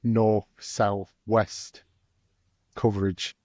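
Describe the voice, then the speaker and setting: A man's voice: lowish voice, little pitch movement, drawn-out words, a little mellow, no room sound. Guy who runs a conference, keeps restarting, dull dry recording. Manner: hesitant, restarts a lot. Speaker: conference organizer. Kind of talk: casual podcast chat.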